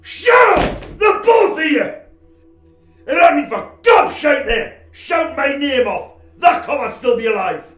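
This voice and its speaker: Male actor plays a volatile crook who snaps orders; bright, swinging delivery. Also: sits high, very animated, moderate pace, echoey room, heavily acted. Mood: furious. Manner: hot-tempered, barking orders, swinging to hushed menace. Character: volatile young male criminal on the run.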